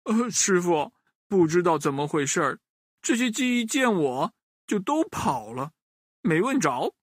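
A man's voice: sits high, very animated, measured pace, no room sound, clean studio sound, voice pushed up, full character voice. A man voicing a polite, humble monk disciple who hesitates with "uh".

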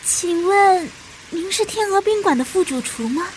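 High-voiced eager young woman played by an actress. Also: expressive, normal speed, a little hiss or hum, low-quality recording. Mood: earnest, polite. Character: eager young woman, aspiring cook and apprentice, earnest, polite. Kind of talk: modern urban audio drama acting.

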